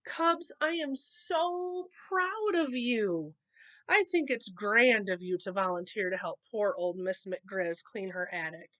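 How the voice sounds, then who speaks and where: A woman's voice: mid-range voice, takes it slowly, fairly bright tone, no echo. A woman voicing a proud, encouraging father bear in a children's storybook. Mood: proud, enthusiastic.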